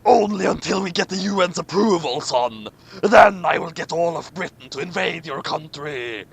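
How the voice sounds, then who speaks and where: A man's voice: on the high side, lively intonation, moderate pace, gravelly. Man putting on a bossy, furious old emperor. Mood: stern. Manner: scolding, barking orders. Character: bad-tempered, bossy old fat male emperor. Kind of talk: comic read-aloud of fan fiction.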